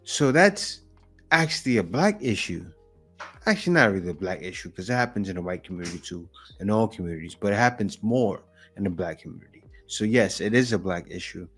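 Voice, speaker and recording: A man's voice: on the low side, animated, brisk, a bit bright, husky, soft background music. Blunt man commenting on a clip. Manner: blunt, opinionated, talks back to the clip. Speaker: reaction video host. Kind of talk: casual commentary.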